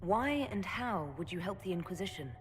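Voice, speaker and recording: An actress playing a resolute, diplomatic leader in a fantasy game cutscene, composed, earnest and decisive. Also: female voice, lowish voice, lively intonation, normal speed, close to an everyday voice. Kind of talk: video game cutscene acting. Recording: game music behind the voice.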